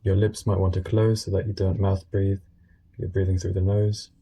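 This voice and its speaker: A man guiding a meditation in a gentle, unscripted way, speaking informally and soothingly in short phrases with pauses. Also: low-pitched, flat delivery, a little hiss or hum. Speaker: meditation guide.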